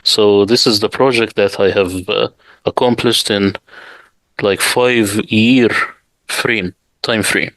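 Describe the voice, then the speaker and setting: Arabic-native man, Middle Eastern, interview guest; lowish voice, dead-dry recording, emphatic delivery. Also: steady tone, slow pace, a bit bright, no room sound, poor audio. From Middle East. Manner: emphatic, repeats key points. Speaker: guest, Quran translator. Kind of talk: interview conversation.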